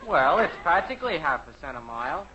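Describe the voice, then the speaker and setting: A high-voiced, eager teenage boy. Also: big pitch swings, brisk, bright, forceful tone. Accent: American. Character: eager, oblivious, persistent teenage son. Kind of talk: 1950s radio sitcom acting. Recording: muffled, noisy old radio recording.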